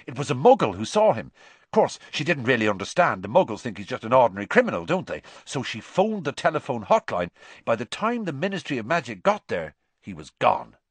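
A man reading aloud and voicing an excitable teenage schoolboy who shares gossip eagerly. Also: medium pitch, slightly rough, dry recording, pitched above the performer's own voice, exaggerated voice. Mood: excited. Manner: eager, quick, chatty.

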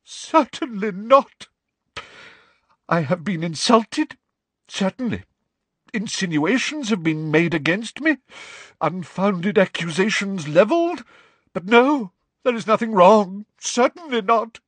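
A man voicing an overwrought, eccentric older female seer teacher, speaking in a high, throbbing, theatrical voice. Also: lively intonation, slow, dry recording, exaggerated voice. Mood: hysterical.

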